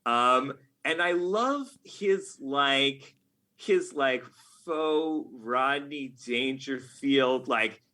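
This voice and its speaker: Middle-aged man, bright crisp-edged tone, medium pitch, casual podcast guest, wry, nerdy, self-deprecating. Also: very slow. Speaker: podcast guest, game writer.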